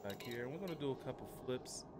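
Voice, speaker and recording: A man giving casual, halting commentary as he livestreams a game and walks viewers through it, thinking aloud. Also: medium pitch, lively intonation. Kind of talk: casual gameplay commentary and walkthrough. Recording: clearly noisy home stream audio.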